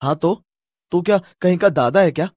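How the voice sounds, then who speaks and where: A man's voice: sits high, normal speed, darkish tone. A man voicing an indignant rich young boy who speaks insistently and stands up for himself in a cartoon story.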